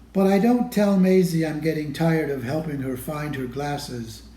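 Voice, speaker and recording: Slow-reading man, mellow, in an echoey room. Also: a little high. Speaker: storybook reader. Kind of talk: expressive children's picture book read-aloud.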